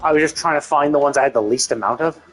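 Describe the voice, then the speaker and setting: A man's voice: fairly high pitch, fast talker, fairly bright tone, soft background music, rough recording. A man doing casual live game commentary, thinking aloud in a rambling way and swearing casually.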